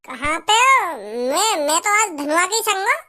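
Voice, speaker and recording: A young cartoon son with a tiny, extremely high pitched-up voice. It is bright and piercing, with a whiny, stammering way of dodging questions. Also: male voice, animated, normal speed, exaggerated voice. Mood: evasive, nervous. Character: cheeky, evasive, bickering young cartoon son. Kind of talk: amateur comedy cartoon dubbing.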